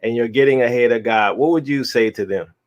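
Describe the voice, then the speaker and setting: A man hosting an interview, relaxed and casual, laughing easily. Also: mid-range voice, a little fast, lo-fi sound. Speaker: host.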